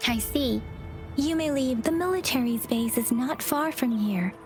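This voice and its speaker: Stern suspicious soldier, high clipped voice, music under. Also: female voice, measured pace. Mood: cool. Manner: clipped, cool, questioning. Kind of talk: video game voice acting.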